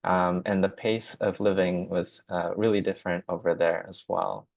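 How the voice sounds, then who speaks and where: A man from Hong Kong whose first language is Japanese, giving a slide presentation about his work as a glass artist, speaking haltingly with many fillers and restarts. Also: low voice, fairly even intonation, gravelly, darkish tone, no echo.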